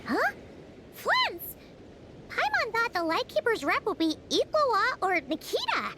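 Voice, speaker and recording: A woman voicing a tiny, excitable floating companion sprite in a video game, with a squeaky, giggly way of talking. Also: measured pace, very noisy, full character voice. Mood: surprised. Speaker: travel companion. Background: game music.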